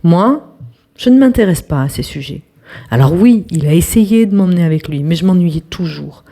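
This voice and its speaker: A young woman hosts a storytelling channel, reading an online post aloud in a lowish, warm, mellow voice. Her reading is lively, now and then dropping to a hushed aside, and she talks directly to her viewers. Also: moderate pace.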